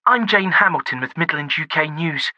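A man's voice: on the high side, lively intonation, moderate pace, sharp tone, no echo, dull audio, full character voice. A male audiobook narrator voicing a polite female TV news reporter with a slight shiver, in a formal broadcast manner. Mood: formal newsreading.

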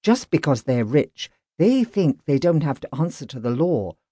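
A woman's voice: sits low, very animated, normal speed, mellow, breathy edge, dry recording, studio quality, heavily acted. A woman voicing a stubborn, earnest police constable who grumbles about the rich. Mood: grumbling. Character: plain, dogged male police constable.

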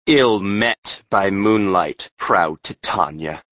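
An imperious, scheming fairy king acted by a man in a Shakespearean audiobook. He speaks slowly and with stately deliberation, fairly low. The recording is dry. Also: no room sound, dull audio.

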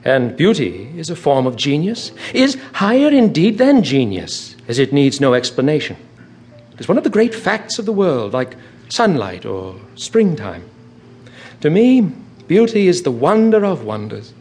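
An actor playing a witty, languid aristocratic gentleman in a radio drama, speaking in theatrical epigrams. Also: a little high, very animated, unhurried, breathy edge, faint music behind, heavily acted. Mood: urging.

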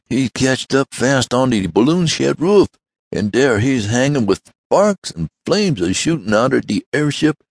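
A man voicing an excited aged male servant who talks in folksy dialect. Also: mid-range voice, measured pace, gravelly, no room sound, pitched above the performer's own voice, heavily acted. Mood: alarmed.

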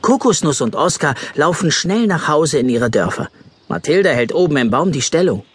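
A man narrating a scripted children's story as an expressive storyteller. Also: fairly high pitch, moderate pace, slightly rough.

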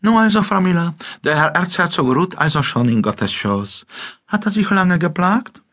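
An actor playing a chatty, simple-minded Czech ex-soldier and dog seller with a Bohemian-tinged Austrian accent, in a comic audiobook. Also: male voice, high-pitched, animated, normal speed, a bit bright. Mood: mock-earnest chatty. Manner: rambling, folksy, mock-naive.

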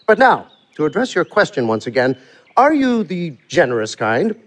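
Wily manservant for verse comedy: knowing, flourishing, higher-pitched male voice, lively swoops. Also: moderate pace. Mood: sly, knowing. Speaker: actor. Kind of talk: theatrical verse comedy performance.

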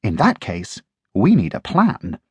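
A narrator voicing a clever, know-it-all male puppy in a storybook, speaking in a slow, smug drawl. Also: mid-range voice, huge pitch swings, breathy edge, no echo, heavily acted. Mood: scheming.

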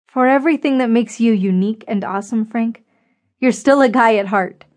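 A woman's voice: fairly high pitch, a little fast, a little mellow, close to an everyday voice. A woman narrator voicing a strong, earnest, fiercely loyal woman in an audiobook story. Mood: fond.

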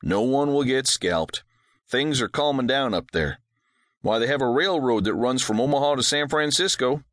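A man voicing a gentle rancher dad. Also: medium pitch, expressive, normal speed, no echo, clean studio sound, pitched above the performer's own voice, natural voice. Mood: patient, gentle. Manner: soft, patient, reassuring. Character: gentle, devout widowed rancher father.

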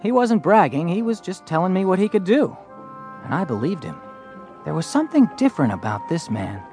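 A man's voice: high-pitched, very animated, fairly quick, darkish tone, soft background music. An actor playing an earnest fourteen-year-old boy in a western, telling his story in a plainspoken, hesitant, thoughtful way. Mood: reflective. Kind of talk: first-person dramatized audiobook narration and dialogue.

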